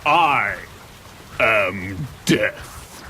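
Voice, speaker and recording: An English actor playing a petty, chatty, deadpan Grim Reaper in a radio comedy. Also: male voice, lowish voice, drawn-out words, slight background noise, full character voice.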